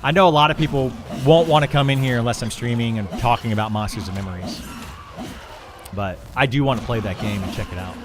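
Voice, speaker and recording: A man's voice: mid-range voice, quick pace, over music. A middle-aged man, a video game streamer, talking casually and a bit ramblingly as he answers viewers on a livestream. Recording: clear background noise and ambience.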